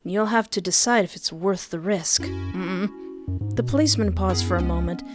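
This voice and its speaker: A woman's voice: mid-range voice, moderate pace, dry recording, soft background music. Woman narrating a visual novel expressively. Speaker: game streamer reading visual novel aloud. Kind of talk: expressive scripted narration with casual asides.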